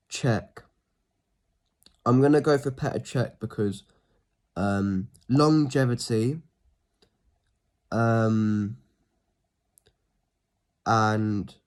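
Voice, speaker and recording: A man with a cold, sounding a bit sniffly, talking casually through a football team pick, with frequent ums and phrases that trail off quietly. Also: mid-range voice. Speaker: football fan channel host. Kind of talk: casual solo preview talk.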